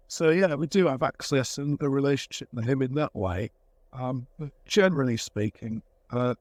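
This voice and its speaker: A man talking casually as a craftsman being interviewed, with a thoughtful, halting way of phrasing things. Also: mid-range voice, a little mellow, no echo.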